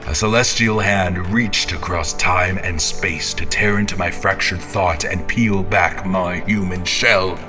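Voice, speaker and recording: Deep, brooding half-mad zealot. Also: male voice, steady tone, over music. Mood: haunted, intense. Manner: grandiose, brooding, deliberate, with whispery breaths. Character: obsessed, menacing male zealot, half-mad self-anointed champion. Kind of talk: theatrical dramatic monologue.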